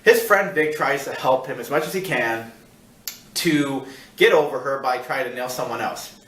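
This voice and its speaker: A man hosting a casual film review, talking in a rambling, halting way and repeating himself as he searches for words. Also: medium pitch, fairly bright tone. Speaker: film review show host. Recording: echoey room, distant mic.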